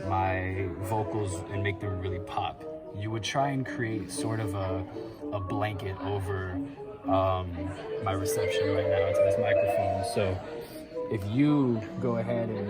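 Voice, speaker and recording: A young man in his late teens with a deep voice, casually explaining sound equipment in a theater booth. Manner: relaxed, chatty explaining. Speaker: student sound engineer.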